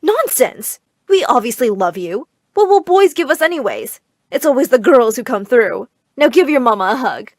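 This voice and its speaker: An overbearing, money-minded mother, acted out by a woman storyteller, scolds and commands with high drama. Her voice is high and swoops widely, recorded very dry. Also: big pitch swings, normal speed, no room sound. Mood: dismissive. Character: overbearing, snobbish, money-hungry mother, shrill and melodramatic.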